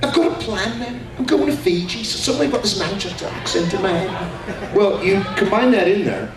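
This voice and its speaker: A middle-aged man, a voice actor on a convention panel, talking in an animated, jokey way and slipping into character voices. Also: sits high, brisk, crowd noise. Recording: echoey hall, far from mic, noisy.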